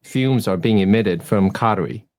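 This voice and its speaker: A man's voice: fairly low pitch, moderate pace, a little mellow. Thoughtful man from Taiwan, casual panel chat. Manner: thoughtful, hesitant, self-correcting. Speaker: urology clinician guest. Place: studio.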